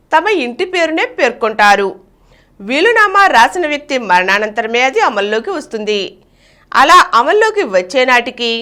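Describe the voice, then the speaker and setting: A woman's voice: fairly high pitch, fairly bright tone. A woman reading a scripted informational article aloud as a narrator.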